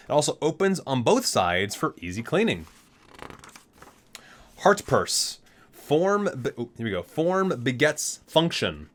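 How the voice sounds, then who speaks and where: A man hosts an ASMR read-aloud in a mid-pitched voice. His delivery rises and falls widely, with deliberate dramatic emphasis on each entry he reads. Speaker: host reading aloud for an ASMR video. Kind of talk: ASMR read-aloud of game item descriptions.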